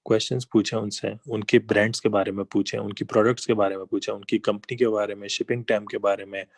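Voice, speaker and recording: A man's voice: fairly low pitch, little pitch movement, quick pace, gravelly, no echo. A man giving a slide-based business lesson, talking conversationally and explaining with examples and asides. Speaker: online business trainer.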